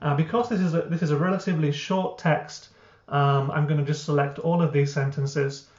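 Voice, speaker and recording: A man, the founder of a language app, walking through his software step by step in an explanatory way, with frequent uh and um. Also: a little high, fairly even intonation, a little fast. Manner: explanatory, many uh and um fillers. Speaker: software founder giving a tutorial. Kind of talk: screen-recorded software walkthrough. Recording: roomy, dull lo-fi.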